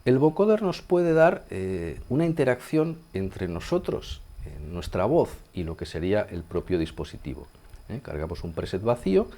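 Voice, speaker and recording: A man's voice: fairly low pitch, very animated, normal speed, warm tone. A man with a Castilian accent from Spain explaining gear step by step in an informal, conversational tutorial, often checking in with his listeners. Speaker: sound engineer demonstrating a synthesizer. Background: synthesizer sounds being demonstrated.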